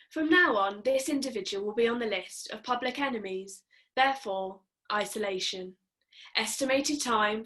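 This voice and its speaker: A woman's voice: medium pitch, fairly bright tone, close to an everyday voice. A woman playing a cold, official system voice that passes judgment in formal, procedural announcements at a play reading. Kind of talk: scripted table read of a play.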